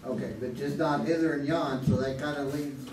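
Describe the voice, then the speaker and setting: A man's voice: medium pitch, steady tone, moderate pace, noisy background, natural voice. A man playing a chatty, rambling contestant on a staged 1950s quiz show. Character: chatty middle-aged male quiz contestant. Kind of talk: live stage radio acting. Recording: roomy theater, far mic.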